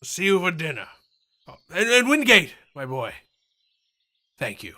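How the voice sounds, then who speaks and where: An affectionate, genteel aging father, played by a man, high-pitched and swooping widely. He is hesitant and exclamatory, with a bright edge, in radio drama style. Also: big pitch swings, unhurried, heavily acted. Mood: warmly amused. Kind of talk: radio play acting.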